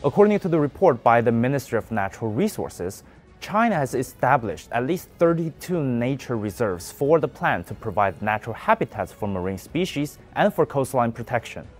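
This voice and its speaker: A man's voice: medium pitch, sing-song, normal speed, soft background music. A young man presenting a scripted news commentary with an emphatic, pointed delivery.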